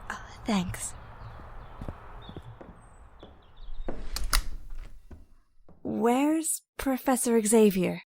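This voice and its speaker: Slow-spoken, uneasy teenage girl, acted by a woman. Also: fairly high pitch, expressive, vocal fry, mellow, a little hiss or hum, exaggerated voice. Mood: nervous, polite. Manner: hesitant, reflective. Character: nervous teenage girl newly arrived at a mutant school. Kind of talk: audio drama acting.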